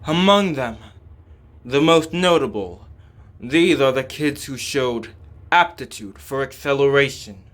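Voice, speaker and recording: Man voicing a slow, measured surgeon. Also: mid-range voice, a bit bright, slight background noise, natural voice. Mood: calm, explanatory. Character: calm male surgeon devoted to protecting humans. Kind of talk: scripted game dialogue reading.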